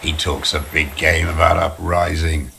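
An actor playing a bitter, weary old communist deserter in a video game, ranting with disdain. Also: male voice, a bass voice, level tone, vocal fry, pushed and bright, slight background noise, full character voice. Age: older. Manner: embittered, ranting, slow deliberate delivery. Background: music.

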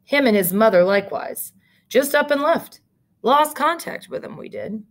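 A nosy storybook hotel clerk, played by a woman, folksy and emphatic in a small-town way. The clerk is wary of strangers and repeats points insistently, with the pitch lifted a little. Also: expressive, normal speed. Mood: muttering. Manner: folksy, emphatic, repetitive phrasing.

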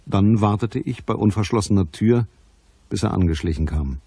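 An actor playing a dry, commanding private detective in a crime radio play, matter-of-fact and wry. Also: male voice, on the low side, moderate pace, background noise, close to an everyday voice. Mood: wry narration. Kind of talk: German crime radio play, dialogue and narration.

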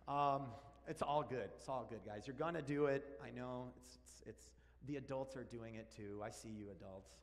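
A man in his forties from Argentina, a native Spanish speaker, preaching an animated, joking sermon while walking around the stage. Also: mid-range voice, normal speed, few pauses. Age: 40s. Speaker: pastor. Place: large church sanctuary. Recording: long church hall reverb.

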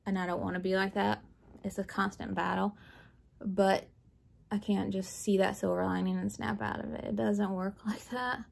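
A woman's voice: mid-range voice, flat delivery, normal speed, vocal fry, darkish tone. A woman vlogging from her living room floor, talking candidly and bluntly in a rambling way. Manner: blunt, rambling, laughs nervously. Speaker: book vlogger. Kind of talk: candid personal talk.

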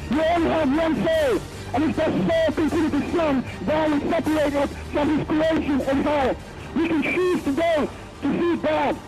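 A man's voice: very high-pitched, low-quality recording. A man street preaching outdoors on a rainy city corner, urging passersby with repetitive calls to repent. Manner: urgent, repetitive exhortation. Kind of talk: open-air street preaching.

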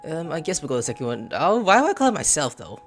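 A male gameplay streamer commenting casually, excitable and swearing while playing. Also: on the high side, rapid-fire. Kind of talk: live game commentary. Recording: commentary over game music.

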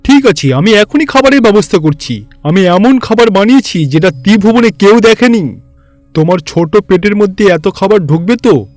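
A man voicing a boastful, grandiose wealthy god-king in a cartoon fairy tale, pompous and loud. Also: high voice, animated, normal speed, faint music behind.

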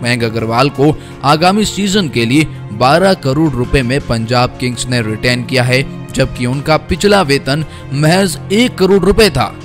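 A young man reading a scripted cricket news voice-over in a brisk, emphatic way, with asides to viewers. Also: medium pitch. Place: voice-over, not in pictured place. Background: faint background music.

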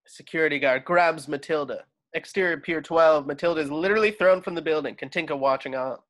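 A man with a fairly high voice serves as narrator. He reads out scripted stage directions for a table read on a video call. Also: a little fast, fairly bright tone.

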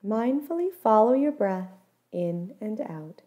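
A woman leading a guided body scan meditation, giving gentle, measured instructions and letting her phrase endings fall softly. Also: mid-range voice, lively intonation.

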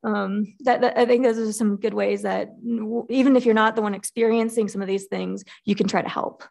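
A woman's voice: medium pitch, fairly even intonation, brisk, gravelly, darkish tone. A woman engineer on a panel talking candidly about her workplace, earnest, with frequent fillers.

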